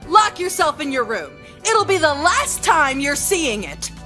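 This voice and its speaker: A woman's voice: high voice, very animated, measured pace, pushed and bright, soft background music, exaggerated voice. A woman voicing a curt, neglectful mother of a teenager in a visual novel game. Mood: scolding.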